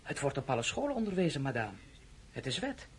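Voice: mid-range voice, very animated, fast, husky. A performer in a radio play, playing a strict, dutiful tutor. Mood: strict, formal.

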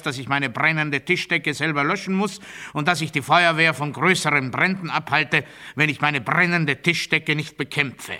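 An actor playing a pompous, paranoid new ruler in a radio play monologue, declaiming to his people in a sharp, forceful, theatrical voice that moves between proclamation and confiding. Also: fairly high pitch. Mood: stern, self-assured. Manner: declamatory oratory, swinging from loud proclamation to hushed confiding.

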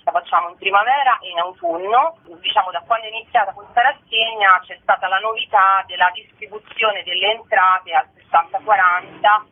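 Husky woman on a tinny phone-like radio sound, guest chatting and explaining, kinda rambly. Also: medium pitch, brisk, few pauses. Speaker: guest, member of a theatre collective. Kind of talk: radio interview, casual spoken explanation.

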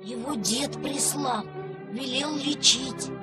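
A woman voicing an earnest, tearful little peasant boy in an audio play, halting and plaintive. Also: sits high, loud music behind the voice. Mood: timid, pleading. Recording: cassette transfer.

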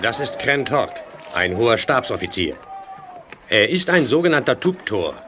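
A man's voice: mid-range voice, very animated, moderate pace, slight background noise, natural voice. A man playing an obedient alien guard in a science fiction radio drama, eager and subservient. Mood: brisk, obedient.

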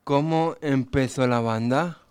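A man co-hosting a radio interview, asking questions in an unhurried, hesitant way. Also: medium pitch, darkish tone, clean tone. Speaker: radio co-host.

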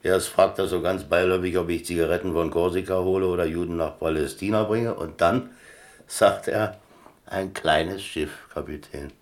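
An actor playing a boastful, easygoing captain in a German radio play, talking like a leisurely, self-satisfied storyteller. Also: male voice, deep voice, fairly even intonation, moderate pace. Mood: wry storytelling. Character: boastful, easygoing old sea captain spinning tales over chess.

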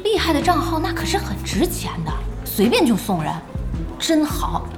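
An actress in a Chinese audio drama playing a brisk, bossy young woman who runs an internet cafe, speaking directly. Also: high voice, wildly swooping pitch, heavily acted. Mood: curious, impressed. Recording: music and crowd sounds behind.

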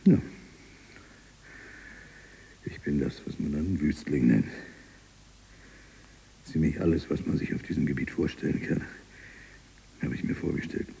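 Very deep, gravelly embittered libertine. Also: male voice, unhurried, pauses often, warm tone, slight background noise, exaggerated voice, rough recording. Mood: bitter, confiding. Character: embittered imprisoned male libertine writer with revolutionary zeal. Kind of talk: literary radio drama monologue.

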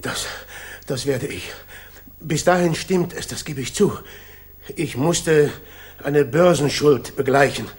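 Casting: disgraced colonel, high-pitched, slow and stammering, frightened villain in a vintage radio play. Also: male voice. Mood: desperate pleading. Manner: halting, stammering, agitated. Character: cornered, fearful English colonel turned traitor. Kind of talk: 1960s German radio crime drama acting.

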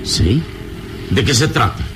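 An actor playing a courteous, authoritative adventurer hero in a radio drama, with formal, measured delivery. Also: male voice, mid-range voice, very animated, noisy background. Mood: alert. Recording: radio serial with loud music underneath.

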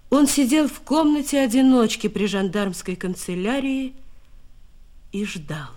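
A woman narrating a scripted radio drama with measured, literary storytelling. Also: on the high side, a little mellow.

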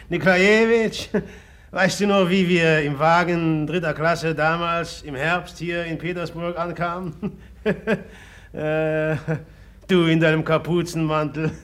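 A brooding, evasive young man. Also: a little high. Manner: hesitant and evasive, with nervous laughs. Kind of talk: 1950s radio drama acting. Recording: old radio play, husky tone.

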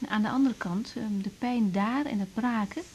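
An actress playing a young woman doctor, earnest and unsure, often speaking softly in a radio drama. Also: a little high, normal speed, breathy edge. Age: young adult.

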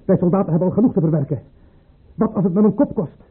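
Raspy, wary common soldier. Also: male voice, high-pitched. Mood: cornered, agitated. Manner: hesitant, deferential, halting. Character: wary, humble male common soldier addressing his superior. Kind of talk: radio play acting. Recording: muffled, narrow-band old radio recording.

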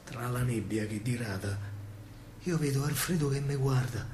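A man with a Roman accent telling a story in dramatic dialect verse, swinging between hushed and loud. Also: mid-range voice, normal speed, slight background noise. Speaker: stage storyteller, actor. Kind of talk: theatrical verse monologue in dialect. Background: faint live music.

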